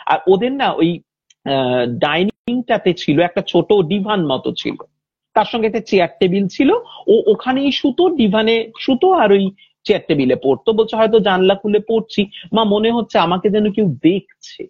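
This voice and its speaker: Woman, husky voice, conversational ghost-story telling, unhurried and detailed, dull lo-fi audio. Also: medium pitch, expressive, dry recording. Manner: unhurried, detailed, acting out quoted speech. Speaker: host of a supernatural story chat. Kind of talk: conversational retelling of real-life ghost stories.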